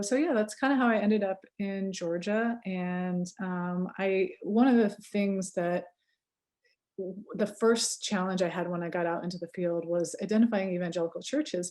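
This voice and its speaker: A woman's voice: medium pitch, dark tone. A woman with a Californian accent, talking casually as an interview guest, chatty with lots of fillers, restarts and easy laughter. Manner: chatty, many fillers and self-corrections, laughs easily. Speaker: guest researcher.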